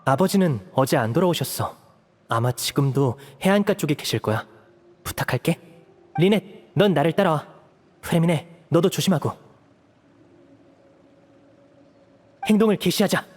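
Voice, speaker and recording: Young man, game character, higher voice, calm, polite, explanatory, dutiful, long reverb. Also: normal speed. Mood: composed, directing. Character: composed, polite young man, dutiful leader of his siblings. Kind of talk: video game voice acting.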